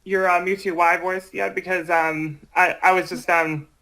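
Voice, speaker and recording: A man in casual chat who speaks haltingly, hesitating and repeating himself as he goes. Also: high-pitched, little pitch movement, sharp tone, rough recording. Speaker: amateur voice project creator.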